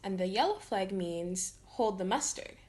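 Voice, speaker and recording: A woman's voice: mid-range voice, expressive, unhurried, a little mellow, lightly acted. A woman voicing an eager, curious young girl in a children's cartoon storybook.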